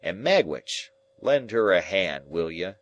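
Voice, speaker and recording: A scoffing, blustering swindler whose bluster hides a coward. A male reader puts him on in a low voice, dragging each word slowly. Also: big pitch swings, muffled recording, full character voice. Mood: scornful.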